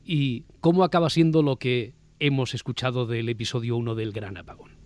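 A man's voice: mid-range voice, steady tone, fairly quick, a little mellow, no echo. A man with a Castilian Spanish accent hosting a discussion in a conversational way. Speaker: host of a discussion podcast. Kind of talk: conversational moderating.

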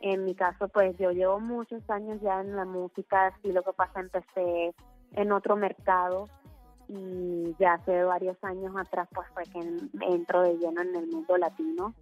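A woman's voice: lowish voice, flat delivery, normal speed, muffled recording, faint music behind. A woman from Puerto Rico with a Puerto Rican accent, a singer chatting as a guest in a phone interview, talking with lots of fillers. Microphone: phone line.

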